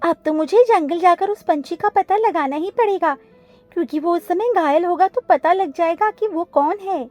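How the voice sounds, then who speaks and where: A woman's voice: very high-pitched, moderate pace, darkish tone, faint music behind, voice pushed up. A woman voicing a determined, bossy female butterfly in a cartoon story, insistent and scolding.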